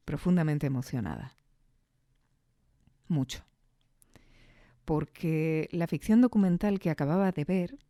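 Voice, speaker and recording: Mellow, low-voiced Spanish woman, close and dry. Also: no echo. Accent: Spanish from Spain. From Granada, Spain. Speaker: podcast host. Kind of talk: reflective, conversational podcast monologue.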